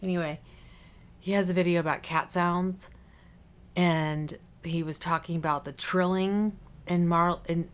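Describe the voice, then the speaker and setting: Chatty woman with a lowish voice. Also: fairly even intonation, unhurried, clear, resonant tone. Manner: chatty, informal, prone to tangents. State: slightly congested, cough drop in cheek. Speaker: beauty vlogger. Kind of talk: casual chat to camera.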